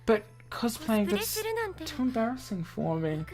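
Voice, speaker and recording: Woman voicing a shy, soft girlish boy. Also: fairly high pitch, sing-song, a little slow, voice pushed up. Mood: embarrassed. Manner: timid, hesitant, polite. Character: shy, gentle, obedient girlish teenage boy. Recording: music behind the voice.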